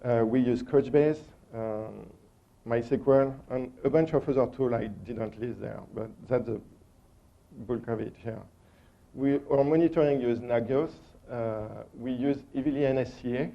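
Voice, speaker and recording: French guy presenting at a tech conference, gravelly voice, lots of uhs, kinda muffled recording. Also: mid-range voice, measured pace. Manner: hesitant, many ums and uhs. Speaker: conference speaker.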